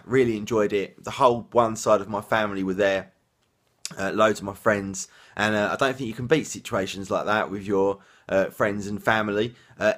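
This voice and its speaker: Hoarse, croaky man vlogging. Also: fairly low pitch, a bit bright. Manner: chatty, rambling with many "uh" fillers. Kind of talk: casual vlog chat.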